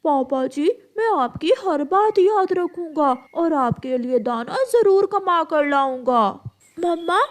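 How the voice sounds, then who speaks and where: A woman's voice: high voice, lively intonation, slow. A woman voicing an eager, polite young male bird in a cartoon. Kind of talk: cartoon voice acting.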